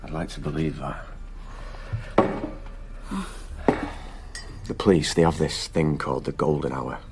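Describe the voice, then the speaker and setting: A man's voice: rumbling low, steady tone, fairly quick, loud music behind the voice. An actor playing a weary, anguished husband and father in a naturalistic radio drama. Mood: subdued, despairing.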